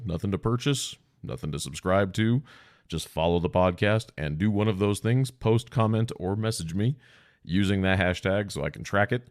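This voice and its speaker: A man's voice: deep voice, dry recording, studio quality. A man hosting a solo podcast. He talks in a rambling, casual, self-deprecating way with some swearing, and sounds congested and stuffy-nosed from a sinus cold. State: sore throat from long talking. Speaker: podcast host.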